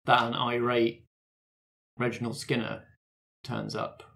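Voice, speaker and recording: Mid-pitched man running a tabletop game. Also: little pitch movement, takes it slowly, gravelly, fairly bright tone. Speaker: game master. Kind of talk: improvised tabletop game narration.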